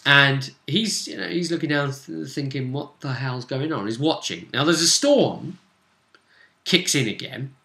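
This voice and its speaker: Bright-voiced man telling a story, echoey room. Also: medium pitch, measured pace, vocal fry. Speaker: game master recapping a campaign session. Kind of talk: casual tabletop game storytelling.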